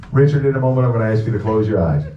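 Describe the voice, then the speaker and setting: A man performing a live stage hypnosis comedy show, bantering crudely and profanely with the crowd. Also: mid-range voice. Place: indoor show venue. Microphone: audience camera far from the stage. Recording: distant, muffled, echoey venue with background noise.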